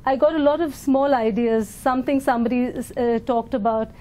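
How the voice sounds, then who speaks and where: A woman's voice: fairly high pitch, steady tone, normal speed, mellow. An Indian woman leading an education foundation, answering interview questions thoughtfully with fillers and restarts.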